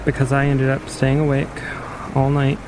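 A man playing a dry, matter-of-fact post-apocalyptic wayfinder in an audio drama, speaking in a measured, precise way. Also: mid-range voice, monotone, noisy background, close to an everyday voice. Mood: dry recounting. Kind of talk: audio drama interview storytelling.

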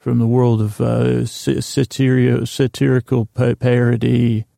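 A man's voice: mid-range voice, flat delivery, unhurried, warm tone, no room sound, studio quality. A man hosting a solo podcast in a rambling, stammering monologue, often interrupting himself and drifting off with mock-earnest, self-deprecating whimsy. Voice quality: creaky, gravelly. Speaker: podcast host.

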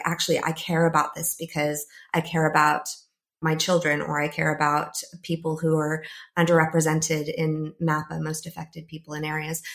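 A slightly croaky woman in her thirties speaks in a low-pitched voice. She talks as a podcast guest, pausing and reaching for words with easy fillers. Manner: thoughtful, many "kind of" fillers. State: croaky, a bit ill. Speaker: podcast guest, climate psychologist. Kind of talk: casual interview talk.

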